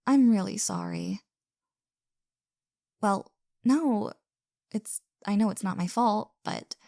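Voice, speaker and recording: An actress plays a caring young woman who is shyly smitten, in an intimate audio roleplay. She takes her time, stammering softly and earnestly, with some vocal fry. The recording is close and dry. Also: medium pitch, no room sound. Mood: sympathetic, worried. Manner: stammering, hesitant, soft and earnest. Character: flustered, caring young woman hosting her secret crush.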